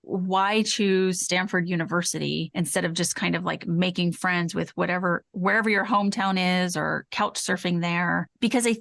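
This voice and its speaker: A woman's voice: fairly low pitch, steady tone, moderate pace, vocal fry, hardly any pauses, a bit bright, no echo. A woman co-hosting a true-crime podcast, talking in an analytical but informal conversational way. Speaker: podcast co-host.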